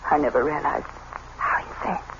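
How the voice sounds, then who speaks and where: Actress as quiet condemned wife, noisy old radio. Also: female voice, normal speed, dull audio, close to an everyday voice. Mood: cold pity. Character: condemned wife, coldly pitying and resigned. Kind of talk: radio play acting.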